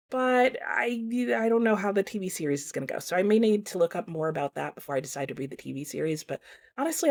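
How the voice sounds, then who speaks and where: A woman talking casually and explaining her thoughts, as a book reviewer speaking in a vlog. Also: fairly low pitch. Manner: conversational, explanatory.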